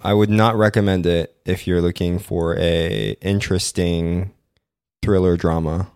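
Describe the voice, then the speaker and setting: A man's voice: low-pitched, measured pace, darkish tone, smooth, dry recording, studio quality. A young man co-hosting a podcast, talking casually with many fillers and playful mock quotes. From Philippines. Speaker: podcast co-host. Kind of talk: casual chat.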